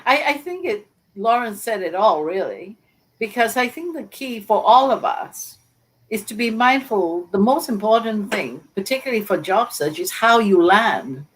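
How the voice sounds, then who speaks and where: A woman speaks earnestly on an online panel in a middle-pitched voice. She stresses and repeats her key points. Her voice comes through a video call. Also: animated, normal speed, poor audio. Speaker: panelist, book author. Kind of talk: live panel discussion.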